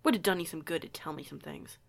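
A woman's voice: low voice, fast, gravelly. A woman playing a young werewolf outsider in an audio roleplay, stammering, sweary and emphatic. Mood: exasperated, hurt. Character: young female werewolf outsider, flustered, foul-mouthed, fiery.

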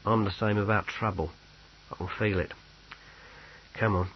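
A man voicing a practical, patient army sergeant in an audiobook story, wary and deliberate. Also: on the low side, breathy edge, muffled recording. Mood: uneasy, hushed.

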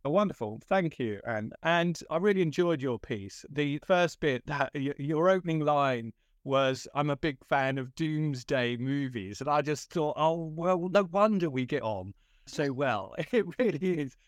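A man's voice: a little high, lively intonation, a little slow, no room sound, clean studio sound. Friendly rambling male podcast host. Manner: friendly and rambling, with stammered restarts and chuckles. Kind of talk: podcast interview.

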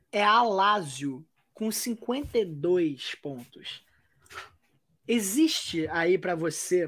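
A woman's voice: lowish voice, fairly bright tone. A Brazilian woman hosting a podcast, chatting about football in a loose, wry way with many restarts. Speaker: podcast host. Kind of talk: casual football chat.

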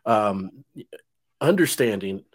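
A man's voice: on the low side, expressive, slow. A man in his fifties hosting an interview podcast, talking in a rambling way with many fillers and restarts. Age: 50s. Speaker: podcast host. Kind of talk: casual interview chat.

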